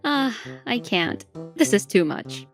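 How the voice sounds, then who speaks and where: A woman plays a bold, teasing manga dub heroine with mock politeness and a challenging edge. Her voice is somewhat high and very animated, with music clearly playing behind it. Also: slow, exaggerated voice. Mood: amused. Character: bold, teasing, sharp-witted young woman exposing a liar.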